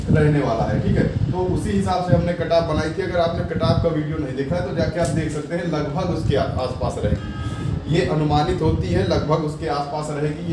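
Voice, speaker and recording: A man explaining exam application numbers and competition to students, repeating figures and reassuring them. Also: a little high. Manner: reads out numbers digit by digit, reassuring and repetitive. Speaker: exam coaching YouTuber. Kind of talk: explaining exam form statistics. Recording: echoey distant mic with loud noise.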